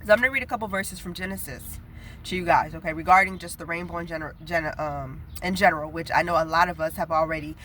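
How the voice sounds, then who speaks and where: A woman's voice: lowish voice, quick pace, a bit bright, slight background noise. A young woman, nasal from a head cold, sharing a prophetic message in an earnest, chatty, rambling way. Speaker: prophetic video preacher. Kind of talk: casual spoken prophetic message.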